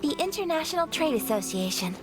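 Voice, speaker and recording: A woman's voice: sits high, sing-song, quick pace. A woman voicing a polite young noblewoman in a video game, formal, composed and gracious in her speech. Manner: formal, courteous. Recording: game music behind voice.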